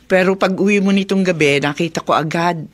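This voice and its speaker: A woman acting a caring, knowing middle-aged widowed mother in a Filipino radio drama, gently teasing and motherly. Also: on the low side, a little fast, close to an everyday voice. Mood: knowing, gentle.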